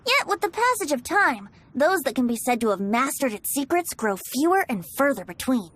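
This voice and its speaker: A woman's voice: high-pitched, sing-song, moderate pace, a bit bright, full character voice. A woman voicing a youthful male bard in a video game, breezy, teasing and a little grandiose. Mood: theatrical. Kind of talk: video game character acting.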